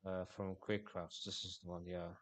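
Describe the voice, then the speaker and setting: Low-voiced rambling Finnish streamer. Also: male voice, flat delivery, heavy background noise. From Finland. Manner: rambling, halting, many fillers and restarts. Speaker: livestreamer. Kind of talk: casual livestream chat.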